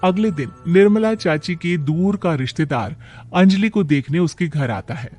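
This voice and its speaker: Male narrator for animated moral tales, slightly high voice, scripted delivery over light music. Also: moderate pace.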